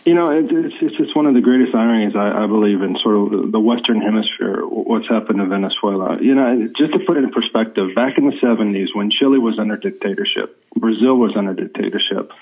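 An American man in his forties, a journalist guest, speaking in a rambling, halting way with many fillers. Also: on the low side. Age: 40s. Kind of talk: remote interview. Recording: tinny phone line.

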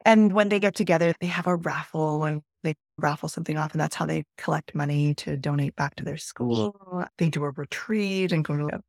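Low-pitched, gravelly middle-aged woman. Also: a little fast, hardly any pauses, darkish tone, dry recording, clean studio sound. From Peru. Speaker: podcast guest. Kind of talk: reflective conversational storytelling.

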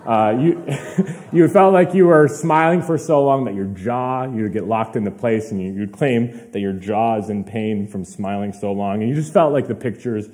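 Young male pastor, a bit hoarse, dark mellow voice, easygoing conversational sermon. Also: lowish voice, normal speed. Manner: casual, chatty preaching with fillers and asides. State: slightly hoarse.

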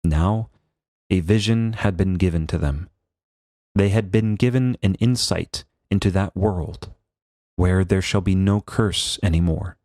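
A man reading a devotional book aloud as a priest, in a solemn, measured and formal manner. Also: low-pitched, darkish tone, no echo, studio quality. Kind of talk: scripted devotional narration, scripture reading.

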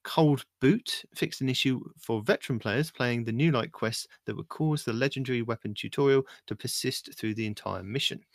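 A man's voice: medium pitch, moderate pace, vocal fry, few pauses, no room sound, clean studio sound. A man co-hosting a casual gaming podcast, reading update notes aloud and joking between items.

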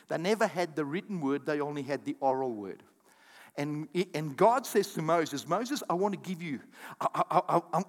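A man preaching a sermon as pastor, calling out to the congregation and breaking into quick asides and acted-out quotes. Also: a little high. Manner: animated preaching with audience call-outs and asides. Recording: long hall reverb.

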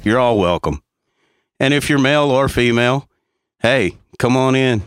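A man's voice: medium pitch, expressive, moderate pace, clean tone, dry recording, studio quality, faint music behind. A man co-hosting a casual podcast, crude and joking. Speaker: podcast co-host.

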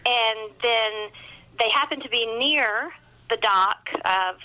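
A woman's voice: on the high side, slow pace, sharp tone, slight background noise, poor audio. A woman talking casually as an author guest in an interview, chatty and self-deprecating.

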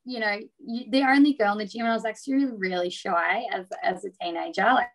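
Woman, Australian accent, interview guest, middle pitch, relaxed, rambling, many fillers. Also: a little fast, low-quality recording. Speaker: boxer, guest. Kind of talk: casual interview chat.

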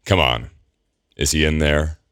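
A man's voice: extremely low pitch, steady tone, measured pace, fairly bright tone, clean tone, dry recording, clean studio sound. A man doing a growling, imperious male ruler. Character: commanding, growling male lord regent.

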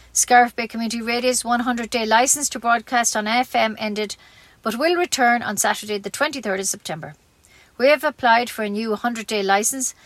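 A woman's voice: a little high, fairly bright tone, dry recording. A woman with an Irish accent reading scripted local news as a community radio newsreader.

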